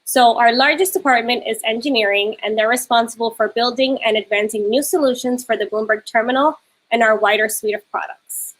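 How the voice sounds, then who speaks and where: Woman presenting corporate slides, higher voice. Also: a little fast, poor audio. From New York City. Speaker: panel host and moderator. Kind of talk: presenting slides, then moderating a video panel.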